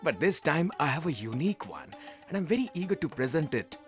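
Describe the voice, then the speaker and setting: An actor playing an eager, deferential royal matchmaker in a children's cartoon, courtly and persuasive. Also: male voice, fairly high pitch, very animated, fairly quick, soft background music. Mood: eager, respectful.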